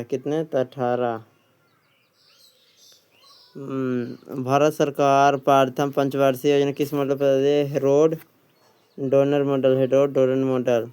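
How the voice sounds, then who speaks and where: A man's voice: mid-range voice, warm tone, smooth. A man working as an exam coach, reading quiz questions and answers aloud in an instructional way, often repeating them.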